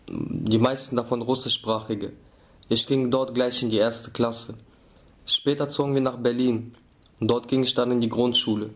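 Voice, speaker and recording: A young man from the former Soviet Union recalling his own childhood in a documentary interview. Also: medium pitch. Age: young adult. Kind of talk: personal recollection.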